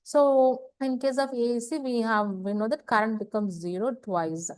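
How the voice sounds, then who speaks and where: A woman gives a slide lecture, halting and repeating phrases, often asking questions and answering them herself. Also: fairly high pitch, fairly even intonation, smooth, no room sound. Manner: halting, repetitive, asks and answers own questions. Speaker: engineering lecturer. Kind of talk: slide lecture explaining technical material.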